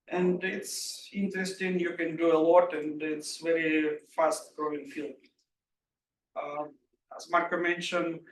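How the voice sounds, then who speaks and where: A middle-aged man from Ukraine with a Russian accent gives an informal technical workshop talk, haltingly and often clearing his throat. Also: a little high. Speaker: workshop presenter, security engineer. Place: indoor room at a live workshop. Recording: roomy echo, distant mic, faint noise.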